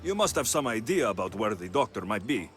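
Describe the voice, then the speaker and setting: An actor playing a bold ancient Greek mercenary hero in a video game, speaking in a direct, probing and firm way. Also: male voice, lowish voice, sing-song, a little fast. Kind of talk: dramatic video game acting. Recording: game cutscene with music behind.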